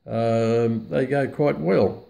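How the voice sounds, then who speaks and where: A man's voice: medium pitch, very slow, creaky, mellow, rough recording. A man presenting a casual, unscripted product walkthrough in a hesitant, matter-of-fact way. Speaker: presenter of a radio review video.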